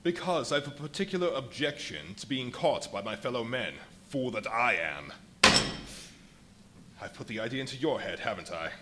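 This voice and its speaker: A man's voice: mid-range voice, a bit bright. A man in a live radio play, playing an irritable, ravenous, worn-out and grandiose invisible fugitive scientist, with a theatrical, imperious delivery. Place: live performance at a brewery venue. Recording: live stage recording with faint noise.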